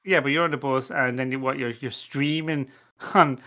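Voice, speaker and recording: A man's voice: medium pitch, fairly even intonation, normal speed, pushed and bright. A man chatting casually on a panel, teasing and swearing now and then. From Ireland. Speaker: podcast panelist. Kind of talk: casual bantering panel chat.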